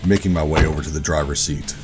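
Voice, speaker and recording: A man playing a weary rogue operative, gruff but tender, narrating a sci-fi audio drama in a brooding, deliberate way. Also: very deep voice, steady tone. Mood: brooding, resolute. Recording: music bed under the voice. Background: dramatic music.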